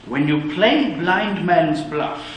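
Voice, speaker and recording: An actor playing a reformed old miser, giddy and generous, laughing and exclaiming in a radio play. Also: male voice, a little high, lively intonation, slow pace. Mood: jovial. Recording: very echoey room.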